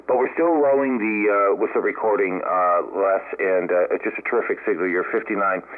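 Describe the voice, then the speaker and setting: An American man working as an amateur radio operator, speaking haltingly in an unscripted exchange over a radio link. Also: low-pitched. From United States. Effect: radio transmission. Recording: narrow band-limited ham radio audio. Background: radio band sound.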